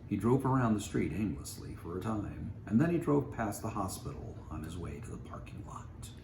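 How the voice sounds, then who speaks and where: A man narrating a scripted story aloud in an expressive way. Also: on the low side, moderate pace, creaky. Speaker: story reader. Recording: echoey room, faint background noise.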